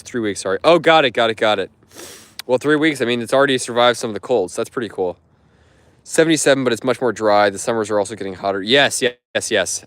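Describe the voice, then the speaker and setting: A man with a lowish voice talks quickly and loosely, answering his viewers as he strolls through a garden. His speech is friendly and rambling, full of "um" and "you know" and false starts. The sound is a phone on a live stream outdoors. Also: fairly bright tone, dry recording. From United States. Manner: rambling, friendly, many fillers and restarts. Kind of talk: casual live-stream chat while walking.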